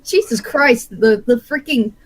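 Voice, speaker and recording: A woman's voice: a little high, measured pace, slight background noise. A woman panelist bantering casually with other fans, with some mild swearing. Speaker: podcast panelist. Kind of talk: casual, sweary fan chat.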